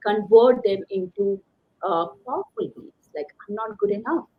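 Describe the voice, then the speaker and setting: A woman's voice: mid-range voice, normal speed. Woman coach on slightly dull audio. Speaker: career coach, interview guest. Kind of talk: conversational motivational advice.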